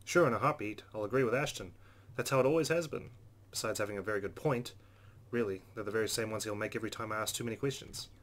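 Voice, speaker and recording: Fast-talking, low-voiced man reading aloud. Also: a bit bright. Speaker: let's play host. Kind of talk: reading visual novel narration aloud.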